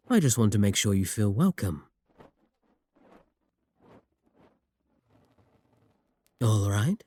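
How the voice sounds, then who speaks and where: Man acting as a courteous warlock, dark soft timbre, expressive swooping intonation, flattering, manipulative, fantasy roleplay audio. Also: lowish voice, no room sound. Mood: courteous, welcoming. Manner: unhurried, polite, coaxing, flattering. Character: smooth, courteous, manipulative male warlock shopkeeper, flattering and flirtatious.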